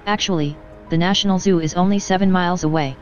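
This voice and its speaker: An actress playing a pedantic, blunt, unflappable scientist investigator in an audio drama, precise and deadpan. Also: female voice, fairly low pitch, fast talker, muffled recording, faint music behind, natural voice. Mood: matter-of-fact.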